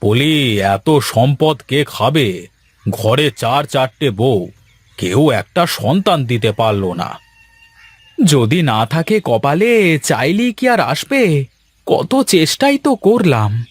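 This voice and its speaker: A man voicing a well-off village husband, fretful yet caring, in a cartoon fairy tale with drawn-out, expressive delivery. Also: mid-range voice, slightly rough, no room sound, full character voice. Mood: resigned.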